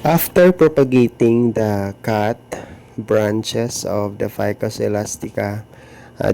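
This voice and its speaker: A man with a Filipino accent narrating a home gardening vlog in a slow, earnest way. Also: on the low side, creaky, warm tone, a little hiss or hum. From Philippines. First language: Tagalog or Filipino. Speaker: gardening vlogger.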